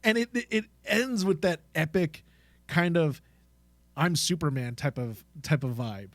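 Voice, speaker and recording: A man's voice: a little high, a little slow, fairly bright tone, no room sound. A man co-hosting a podcast, chatting casually in an enthusiastic, informal way. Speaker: podcast co-host. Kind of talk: casual chat.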